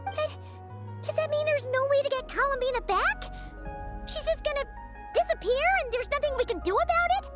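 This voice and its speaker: A woman's voice: very high voice, brisk, music in the background. A woman voicing a tiny floating fairy companion who asks fretful questions in a game cutscene. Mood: worried.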